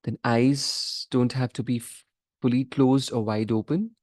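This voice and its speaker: A man leading a guided meditation as a teacher, giving measured instructions in short phrases with pauses between them. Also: mid-range voice, steady tone, darkish tone, no room sound, clean studio sound.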